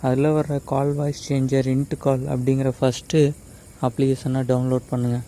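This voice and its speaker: Flat, mellow man explaining. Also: mid-range voice, moderate pace, a little hiss or hum, rough recording. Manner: explanatory, addresses viewers directly, mixes in English words. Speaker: tech tutorial host. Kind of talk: casual step-by-step app tutorial narration.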